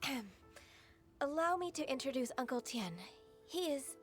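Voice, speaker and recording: An actress playing a gentle, modest young secretary in a video game, speaking politely and formally in measured phrases. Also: female voice, sits high, expressive, soft background music. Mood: polite, admiring.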